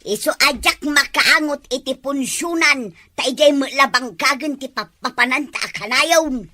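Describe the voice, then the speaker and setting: A woman with an Ilocano accent, high and bright, chatting and teasing in a village comedy skit. She talks freely and gossips. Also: moderate pace, no echo.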